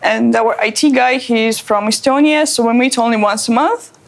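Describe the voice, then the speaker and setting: A woman's voice: on the high side, steady tone, moderate pace, gravelly. A young woman from Moscow, a student, describing her project in a short interview. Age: young adult.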